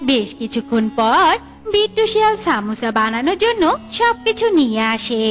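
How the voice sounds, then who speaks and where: A woman's voice: high voice, very animated, moderate pace, fairly bright tone, faint music behind. Female narrator for children's bedtime cartoon, scripted storytelling, faint musical bed.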